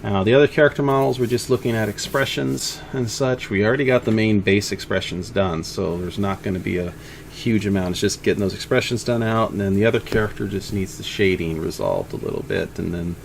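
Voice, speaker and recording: A man giving an informal, unscripted progress update as an indie game developer, speaking in a halting, rambling way. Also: on the low side, gravelly, slight background noise.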